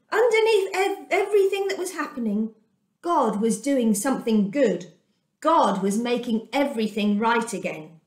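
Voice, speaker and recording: A woman in her fifties reading a children's storybook aloud in a deliberate, expressive way, recorded indoors in a room at home. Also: high-pitched. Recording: echoey domestic room.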